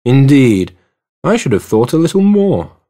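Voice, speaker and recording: A man voicing a keen, superior, teasing male detective in an audiobook, brisk, assured and precise. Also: mid-range voice, very animated, mellow, pitched above the performer's own voice. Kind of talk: audiobook character dialogue.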